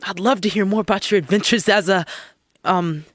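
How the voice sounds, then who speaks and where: An actress playing an awkward, overeager listener, halting and stammering, in a comedy sketch. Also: female voice, medium pitch, dry recording, studio quality, lightly acted. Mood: needy, halting. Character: awkward, overeager listener hanging on racy tales.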